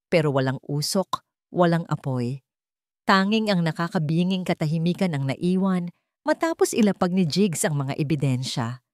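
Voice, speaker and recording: Lowish, expressive woman storyteller. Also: dry recording, studio quality. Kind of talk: dramatic scripted narration.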